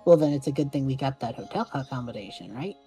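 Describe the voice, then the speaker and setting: An easygoing young time traveler acted out by a woman with a very deep, mellow voice. He talks in a breezy, reassuring way, as in a casual audio drama, with faint music behind. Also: lively intonation, fast, husky, full character voice. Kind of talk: conversational audio drama acting.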